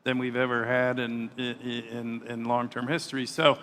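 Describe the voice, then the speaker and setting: A man speaking as a panelist on a stage, measured and given to repeating words as he starts a thought. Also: medium pitch, flat delivery, fairly bright tone, slight background noise. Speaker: panelist, hospitality executive. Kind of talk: panel discussion. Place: large conference hall stage. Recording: hall reverb, faint ambience.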